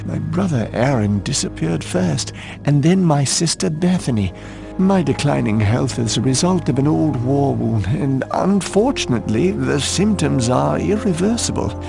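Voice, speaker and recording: An actor playing an ailing, bedridden aristocratic war veteran in a video game, gravelly, weary and dramatic, with halting delivery. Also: male voice, a little high, darkish tone, exaggerated voice. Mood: grave, pleading. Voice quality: gravelly, creaky. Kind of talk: video game cutscene dialogue. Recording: music behind the voice. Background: game soundtrack music.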